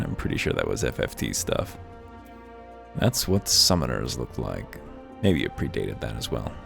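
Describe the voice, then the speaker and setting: A man's voice: very deep voice, vocal fry. A man hosting a let's play, giving wry, offhand commentary and reading game text aloud. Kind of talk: casual gameplay commentary. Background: faint game music.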